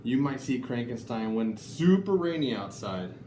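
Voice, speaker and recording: A man reading picture books aloud to children in an animated, deliberate storytelling style. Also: mid-range voice. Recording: echoey room, faint background noise.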